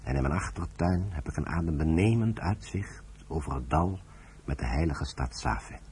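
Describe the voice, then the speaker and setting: A man's voice: rumbling low, animated, moderate pace, a little mellow, slight background noise. A man acting an older retired British general in a Dutch radio play, dry, stubborn and ironic. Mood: reflective.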